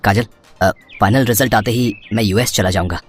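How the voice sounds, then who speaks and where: A woman's voice: a bass voice, normal speed, pitched below the performer's own voice. A woman voicing an earnest, reassuring young man in a cartoon story, with faint music behind. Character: young man, gentle college senior boyfriend.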